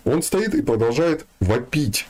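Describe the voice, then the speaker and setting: A man's voice: mid-range voice, sing-song, unhurried. A man giving an informal spiritual talk, speaking colloquially with some slang and addressing his listeners directly.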